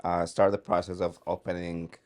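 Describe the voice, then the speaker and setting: A man hosting a local restaurant interview in a friendly, brisk way, with many short replies while his guest talks. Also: low-pitched, flat delivery. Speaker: host. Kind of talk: casual interview.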